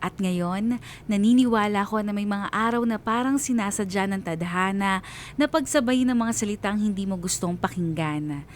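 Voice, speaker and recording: A man plays a wistful Filipino man telling his own story in a radio drama. The narration is reflective and scripted, with a slight rumbling noise behind it. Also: normal speed, close to an everyday voice. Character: Liam as reflective, wistful male letter-sender narrator. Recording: low rumbling background noise.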